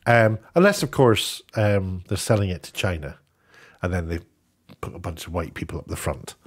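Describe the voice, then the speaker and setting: Lowish voiced guy with the sniffles rambling his opinions to viewers, chatty, asks lots of rhetorical questions. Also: animated, moderate pace, slightly rough. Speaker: YouTube host, commentator. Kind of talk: casual opinion commentary to camera.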